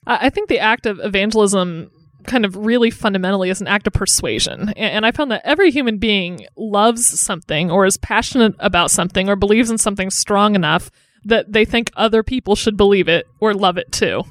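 A woman pastor speaks thoughtfully and articulately as a podcast guest. Her voice is mid-pitched and close to the mic, with no room echo. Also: animated, a little fast. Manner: articulate, thoughtful, light laughs. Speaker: teaching pastor. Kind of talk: conversational podcast discussion.

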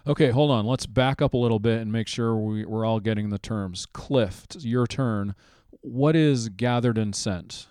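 A man's voice: on the low side, moderate pace, darkish tone, clean tone, no room sound, clean studio sound. A man hosting a podcast in a joking, casual way. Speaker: podcast host. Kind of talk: podcast chat.

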